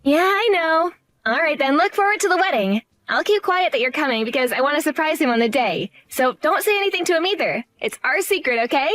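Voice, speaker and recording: A woman's voice: high-pitched, lively intonation, brisk, a bit bright. A woman voicing a cheerful but condescending young bride-to-be in a story drama, speaking in a bubbly, patronizing way.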